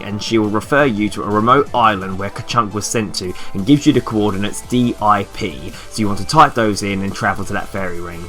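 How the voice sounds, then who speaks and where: A man's voice: fairly low pitch, a bit bright. A man giving an informal, step-by-step instructional commentary as a game guide, addressing the listener directly. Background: background music.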